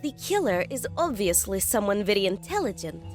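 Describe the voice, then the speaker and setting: A woman's voice: medium pitch, very animated, fairly quick, faint music behind, natural voice. A woman playing a coolly analytical profiler in an audio drama, formal, precise and methodical as she lectures. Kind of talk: fan audio drama acting.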